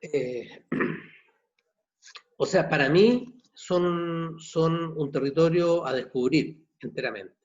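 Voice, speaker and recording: A man in his sixties from Chile with a Chilean accent, a novelist answering questions at an online book launch, halting and repeating words. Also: fairly high pitch. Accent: Chilean Spanish. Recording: dull muffled video call audio.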